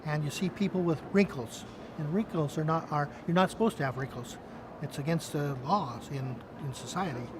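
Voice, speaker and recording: A man in his fifties giving a casual interview reflection, halting and often repeating himself. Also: a little high. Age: 50s. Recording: clearly noisy background.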